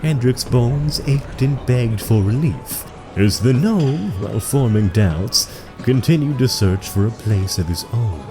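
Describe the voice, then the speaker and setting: A man narrating a scripted fantasy audio drama in a measured, dramatic storytelling manner. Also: medium pitch, lively intonation. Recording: background music under the voice. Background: music.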